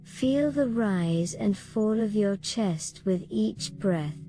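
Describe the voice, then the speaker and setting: A woman's voice: mid-range voice, expressive, poor audio. Soothing woman guiding meditation over music. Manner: gentle, soothing, measured. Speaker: meditation guide. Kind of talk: slow scripted guided meditation. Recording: voice over clearly audible music. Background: gentle relaxation music.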